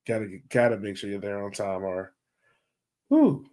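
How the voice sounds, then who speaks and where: A man's voice: lowish voice, lively intonation, moderate pace, dark tone. A man speaking in an informal, colloquial way as he co-hosts a casual sports podcast. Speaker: podcast co-host.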